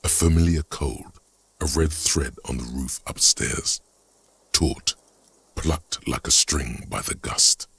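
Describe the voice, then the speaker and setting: A man voicing a detective's grave inner thoughts as measured, literary narration in a video game. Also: a bass voice, creaky, a bit bright, slightly rough, no echo, full character voice. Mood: brooding. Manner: measured, brooding, literary delivery. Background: prominent music.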